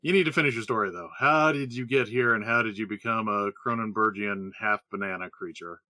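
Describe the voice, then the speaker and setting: A man's voice: lowish voice, a little fast, pushed and bright, no room sound. A man playing an exasperated, practical gnome adventurer in a comedy audio drama.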